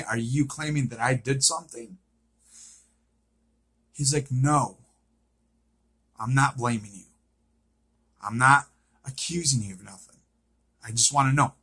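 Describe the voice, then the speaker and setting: A man's voice: mid-range voice, pushed and bright, husky. A man giving an unscripted, casual recap of a TV series, repeating himself and often checking in with a questioning okay. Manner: repetitive, checks in with frequent "okay?".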